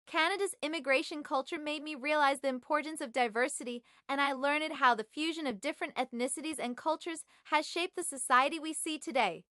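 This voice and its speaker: A young woman from China, whose first language is Chinese, reads a prepared class presentation at a measured, even pace. Her voice sits high and sounds bright and clear. The sound is dry and close. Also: no room sound. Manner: measured, evenly read. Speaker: graduate student. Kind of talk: scripted presentation.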